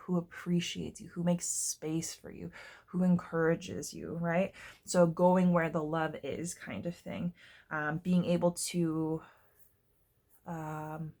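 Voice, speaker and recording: A woman giving a casual tarot reading to her viewers, congested and hoarse, chatty and often stopping to search for words. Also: lowish voice, a little mellow. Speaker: tarot reader.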